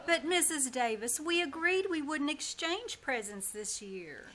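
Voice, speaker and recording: A woman's voice: sits high, lively intonation, a little slow, a crowd in the background, rough recording. A woman playing a wry, long-suffering schoolteacher in a live radio comedy, with dry, sardonic delivery. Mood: dismayed, sardonic. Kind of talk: live old-time radio comedy acting. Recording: live stage performance with background noise.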